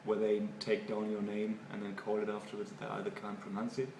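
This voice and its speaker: A man from Germany with a German accent, a native German speaker, giving halting, thoughtful interview answers and often repeating words. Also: lowish voice, completely flat delivery. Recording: echoey room, far from mic, noisy.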